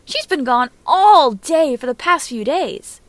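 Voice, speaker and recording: Woman doing a loud, high, whiny boy. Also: animated, dry recording. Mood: whiny complaint. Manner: drawn-out vowels, exclamatory. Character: loud, indignant young ninja boy, whiny and dramatic.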